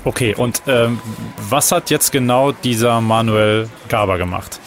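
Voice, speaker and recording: A man's voice: medium pitch, unhurried. A male radio host introducing a report and asking his reporter questions. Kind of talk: radio programme hosting. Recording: music bed underneath.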